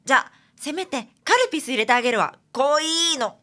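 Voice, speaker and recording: A woman's voice: sits high, expressive, moderate pace, sharp tone. A woman playing a caring, teasing detective's assistant in a radio drama, chatty and fussing, with a Kansai accent. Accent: Japanese, Kansai.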